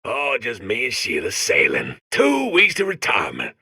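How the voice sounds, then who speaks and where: A man's voice: on the low side, huge pitch swings, vocal fry, pushed and bright, breathy edge, no room sound, studio quality, full character voice. A man playing a giddy, sentimental older robot worker counting down happily, folksy and gleeful, in a comedic video game. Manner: folksy, gleeful, drawn-out exclamations.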